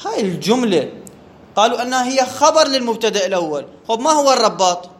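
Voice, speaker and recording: A man's voice: extremely high pitch, very animated, fast talker. A man teaching a grammar lesson. He puts questions to the class, answers them himself and repeats the key terms. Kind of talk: classroom lecture on Arabic grammar.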